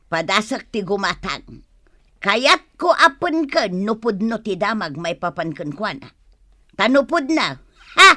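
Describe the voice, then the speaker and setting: A woman's voice: medium pitch, very animated, unhurried, sharp tone, no echo, full character voice. A woman acting an indignant, exclaiming mother and shopper in a comic radio drama. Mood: gossipy, brisk. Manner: exclaiming, confrontational. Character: quarrelsome female customer and mother, indignant, scolding.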